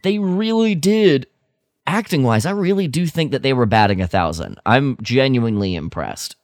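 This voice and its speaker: A man's voice: medium pitch, animated, normal speed, dry recording, clean studio sound. A man co-hosting a podcast in casual chat, speaking emphatically and with enthusiasm. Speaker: podcast co-host.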